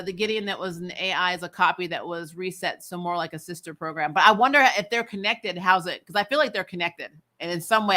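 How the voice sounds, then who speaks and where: A host chatting casually and reacting to viewer comments in an animated, giggly way. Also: medium pitch. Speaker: show host. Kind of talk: casual chat reading and answering live comments.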